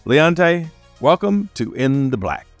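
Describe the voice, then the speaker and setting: A man's voice: fairly high pitch, sing-song, slow pace, no echo, faint music behind. A man hosting a podcast interview, talking conversationally with his guest. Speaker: podcast host.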